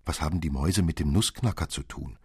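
A man's voice: extremely low pitch, fast talker, slightly rough, no room sound, studio quality, heavily acted. A man reading the part of a stern, worried mother who scolds her sick child in a radio story.

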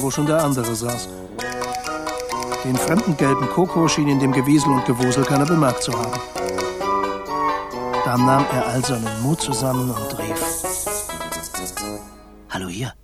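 An expressive storyteller stork played by a man in a children's radio play. The voice sits at a middle pitch and narrates with feeling, with prominent music underneath. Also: lightly acted. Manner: expressive, acts out the birds he quotes. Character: stork narrating a bird's adventure by letter. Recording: music behind the voice.

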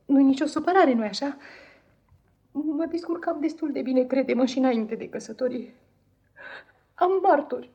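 An actress playing a meek, anxious, affectionate young wife in a radio play, pleading and hesitant in an apologetic way. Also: female voice, high-pitched, long pauses, warm tone. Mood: timid protest. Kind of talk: 1950s radio theatre acting.

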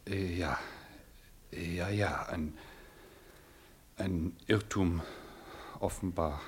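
An actor playing an awkward, blunt young suitor with a halting manner, in a 1960s radio play. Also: male voice, low voice, slightly rough, full character voice. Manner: hesitant, halting, turning bluntly mocking.

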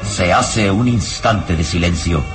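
An actor as the grave narrator of a radio drama, giving formal, suspenseful scripted narration. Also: male voice, low-pitched, slow pace. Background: dramatic music.

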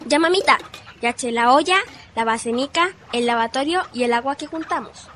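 A Chilean actress playing an obedient young daughter in a radio drama. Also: female voice, high-pitched, a little fast, a bit bright, slight background noise. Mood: dutiful. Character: obedient young daughter helping her mother pack. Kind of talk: radio play acting.

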